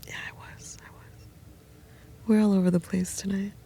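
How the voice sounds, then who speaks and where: A woman whispers in a low, gravelly, breathy murmur, rambling softly and intimately. Her tone stays mellow and flat. She is lying on the floor of a small sound booth. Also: moderate pace. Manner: soft whispering, meandering and intimate. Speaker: host. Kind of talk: whispered late-night rambling.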